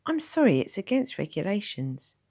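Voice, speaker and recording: Woman as a nervous young nurse; higher, sing-song delivery. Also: big pitch swings, moderate pace, gravelly, mellow, no room sound, studio quality.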